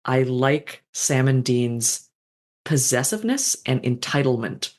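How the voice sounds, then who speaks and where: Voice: mid-range voice, lively intonation, slow pace. A fanfiction author in a casual podcast conversation, thoughtful and meandering, with frequent fillers and restarts.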